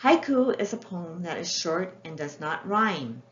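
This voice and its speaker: A woman voicing a gentle, polite and eager little girl from a children's storybook. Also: lowish voice, sing-song, unhurried. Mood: patient, explaining. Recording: roomy, muffled, with faint background noise.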